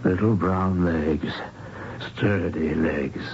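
An actor playing a frail, confused old doctor after a stroke, speaking in halting, repeated phrases in a radio drama. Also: male voice, sits low, a little mellow, heavily acted. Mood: wistful, muddled. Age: older. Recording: dull, hissy old radio broadcast.

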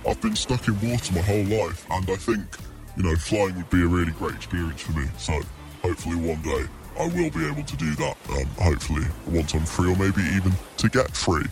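A man's voice: sits low, big pitch swings, fairly quick, gravelly, music in the background, exaggerated voice. A man voicing a humiliated, sensitive stranded cargo ship, speaking hesitantly and earnestly in a mock radio interview. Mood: wistful.

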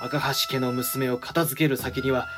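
A man's voice: medium pitch, flat delivery, unhurried, over music, lightly acted. A man playing a dignified, frank samurai clan head and protective elder brother in a Japanese period audio drama, with stately archaic speech. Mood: frank, wry.

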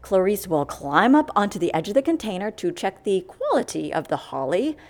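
A woman's voice: mid-range voice, very animated, normal speed, darkish tone. A woman playing an exasperated, indignant agency worker in an audio comedy, speaking with emphatic, wordy, theatrical scorn. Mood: brisk explaining. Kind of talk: audio comedy fiction acting.

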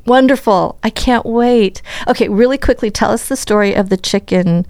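A woman's voice: a little high, normal speed, no room sound. A woman from the United States hosting a podcast, chatting casually and affirming her guest often. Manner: warm, affirming, laughs often. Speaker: podcast host. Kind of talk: casual interview chat.

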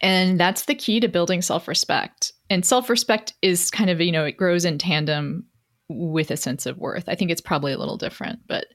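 Female interview guest, middle-aged. Slightly low pitch, candid conversational storytelling, reflective with wry self-deprecation. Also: brisk.

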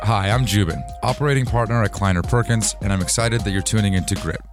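A man's voice: lowish voice, fast talker, over loud music. A man hosting a podcast interview, asking direct and probing questions. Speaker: podcast host.

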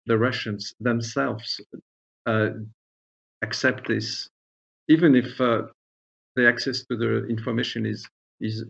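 A man with a French accent speaks in a husky, creaky voice, recorded with dull, lo-fi sound. He gives formal, diplomatic answers, pausing and repeating words as he searches for the right phrase. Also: mid-range voice, fairly bright tone. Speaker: diplomat being interviewed. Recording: dull, lo-fi audio.

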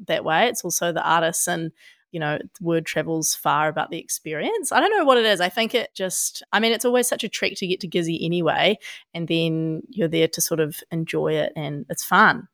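A young adult woman from Australia co-hosting a podcast, talking casually with a husky voice from a head cold and many fillers. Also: fairly bright tone, dry recording, clean studio sound. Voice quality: husky, deep. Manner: chatty, laughs a lot, many fillers like "hey". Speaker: podcast co-host. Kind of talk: casual chat.

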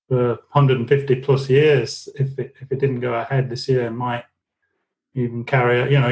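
A man of medium pitch talking casually and haltingly as a podcast panellist. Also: darkish tone, low-quality recording. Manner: hesitant, halting. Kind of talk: casual discussion.

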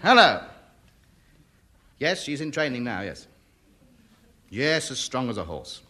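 An actor playing a scheming, boastful wrestler's manager in a 1950s radio comedy, pompous and bossy. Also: male voice, mid-range voice, big pitch swings, slow, pushed and bright, exaggerated voice. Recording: noisy old radio comedy recording.

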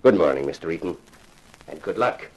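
Solemn wealthy father, very low pitch, husky, formal measured phrasing, old radio thriller. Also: expressive, rough recording. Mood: earnest, formal. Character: grave, formal, wealthy father, a grieving client. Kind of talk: 1950s radio crime drama acting.

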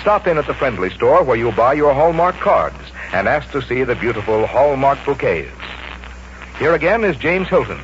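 A man's voice: medium pitch, sing-song, moderate pace, slight background noise. A man reading a polished scripted sponsor advertisement as a 1940s radio announcer. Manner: polished sales delivery. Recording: dull old radio recording, music behind.

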